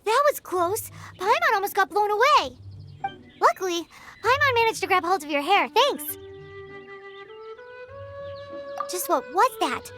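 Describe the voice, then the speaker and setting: Tiny chirpy fairy sidekick, extremely high squeaky voice, very animated, game dialogue, music behind. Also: female voice, moderate pace, heavily acted. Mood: relieved, curious. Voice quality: squeaky, childlike. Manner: perky, speaks of herself in third person. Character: tiny chirpy female fairy companion, eager and childlike.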